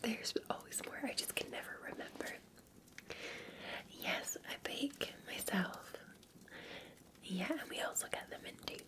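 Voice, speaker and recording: Whispering Scottish woman, soft ASMR barista. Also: a little high, lively intonation. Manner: gentle, soothing, unhurried. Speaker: ASMR performer playing a cafe barista. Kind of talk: ASMR roleplay, unscripted-sounding chat to the listener.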